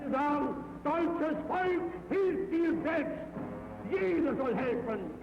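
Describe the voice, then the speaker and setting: A man declaims a public speech with heavy emphasis. His pitch is extremely high and the pace is drawn out. It is a muffled archive film recording with music behind. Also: lively intonation, takes it slowly, creaky. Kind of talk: public oratory in archive footage.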